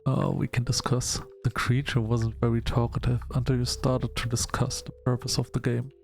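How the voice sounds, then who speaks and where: Male gaming host, mid-pitched with vocal fry, narrating a visual novel in a measured, halting way. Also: monotone, soft background music. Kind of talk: let's-play narration, reading game text aloud.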